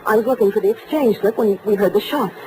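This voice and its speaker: A woman's voice: mid-range voice, fast, lightly acted. An actress playing a flighty, melodramatic rich man's wife in an old radio drama. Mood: flustered. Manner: dramatic, scatterbrained. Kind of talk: 1940s radio drama acting. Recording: noisy old radio recording.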